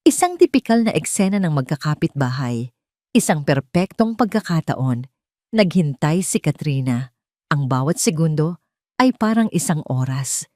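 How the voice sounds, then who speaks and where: A woman reading a scripted story as narrator, with a measured, dramatic delivery. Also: sits low, big pitch swings, darkish tone, no room sound, clean studio sound.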